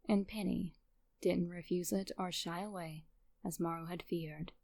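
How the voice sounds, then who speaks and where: A woman reading a romantic fan fiction story aloud as an expressive scripted narration. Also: mid-range voice, gravelly, no echo. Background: faint music.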